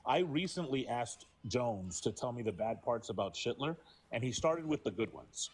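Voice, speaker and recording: A man co-hosting a heated debate with a medium-pitched voice. He is combative and mocking, and he hammers the same challenge again and again. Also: lively intonation, normal speed, slightly rough.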